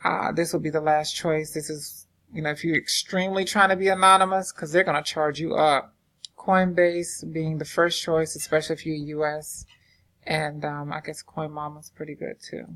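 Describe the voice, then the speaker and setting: Southern woman vlogger with a low voice, easygoing and rambling, desk mic. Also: little pitch movement, moderate pace, fairly bright tone. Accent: American Southern. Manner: rambling, informal, many fillers and restarts. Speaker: crypto investing vlogger. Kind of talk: casual screen-share walkthrough. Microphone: desktop microphone.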